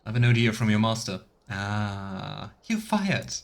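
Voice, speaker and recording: A man giving casual let's play commentary as a streamer, halting and murmuring to himself as he thinks aloud. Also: lowish voice, sing-song. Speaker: streamer playing a video game. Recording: clear background noise, keyboard clicks.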